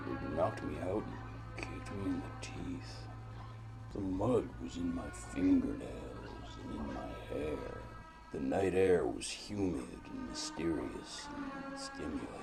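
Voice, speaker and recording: A man's voice: deep voice, vocal fry, heavily acted, rough recording. A man playing a world-weary, brooding private detective in a noir audio drama, narrating in florid, rambling, repetitive phrases. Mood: brooding, groggy.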